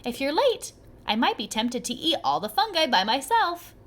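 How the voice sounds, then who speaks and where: A woman voicing a teasing old grandmother who talks in a lower, unhurried way. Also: big pitch swings, fairly bright tone, exaggerated voice.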